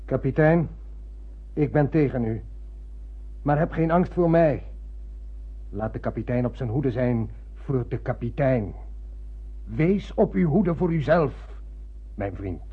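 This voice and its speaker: An actor playing a grave, principled ship's officer in a radio play, speaking in measured tones. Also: male voice, medium pitch, expressive, slightly rough, phone-quality sound. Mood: grave warning. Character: principled ship's officer defying his captain. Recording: thin, noisy old radio recording.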